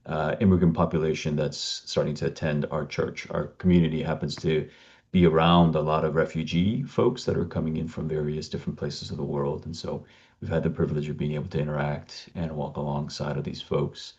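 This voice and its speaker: Deep-voiced man pastor, dull audio. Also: fairly even intonation, fairly quick, creaky, a little mellow. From Bangladesh and Chicago. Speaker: senior pastor. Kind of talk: panel introduction. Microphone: video call. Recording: dull video call audio.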